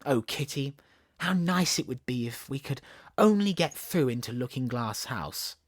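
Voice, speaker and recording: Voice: medium pitch, expressive, a little slow. A storybook narrator with a Southern English accent voicing a chatty, imaginative, bossy little girl who is playful and full of wonder. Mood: dreamy, wishful.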